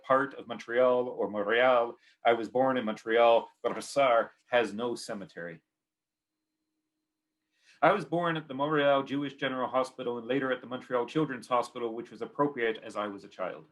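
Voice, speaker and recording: A man with a Canadian accent giving a poetry reading of his own work in short, clipped, repeating phrases. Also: medium pitch.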